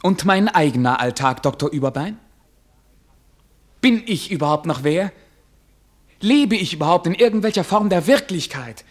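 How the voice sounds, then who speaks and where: An actor in a German radio play as a weary, self-doubting prince who speaks formally, with theatrical irony. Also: male voice, high-pitched, animated, normal speed, pauses often. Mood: anguished. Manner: formal, theatrical, brooding, ironic.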